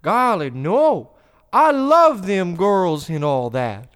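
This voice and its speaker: Guy doing a folksy country farm boy, rural american accent, real slow and swoopy, earnest, anxious, old radio show. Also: sits high, sing-song, fairly bright tone, clean tone, full character voice. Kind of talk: old-time radio drama parody.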